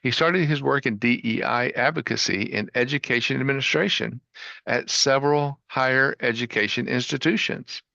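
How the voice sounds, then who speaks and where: Gravelly bright-voiced guy hosting a video call show, dry slightly dull sound. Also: mid-range voice, dry recording. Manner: upbeat, enthusiastic. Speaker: host. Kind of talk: online show introduction.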